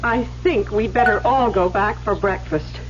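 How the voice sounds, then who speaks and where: An actress playing a sharp, protective young woman in an old-time radio drama, brisk and wry. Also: medium pitch, over loud music, natural voice. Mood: defensive, scolding. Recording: dull old radio recording.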